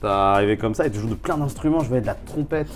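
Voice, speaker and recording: A man's voice: medium pitch, fast, over music. A man hosting a street show in a bar, chatting casually with his guests in a rambling, jokey way, full of fillers and restarts. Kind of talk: casual interview chat.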